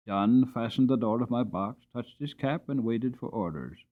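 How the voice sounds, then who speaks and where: A man's voice: medium pitch, moderate pace, narrow, tinny audio. A man narrating a story as a dramatic audiobook reader.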